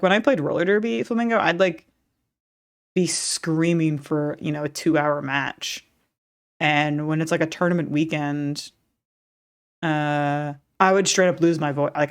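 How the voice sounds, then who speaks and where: A woman's voice: low-pitched, steady tone, a little slow. A young woman chatting casually as she streams a game and reads its dialogue aloud, laughing often and restarting her sentences.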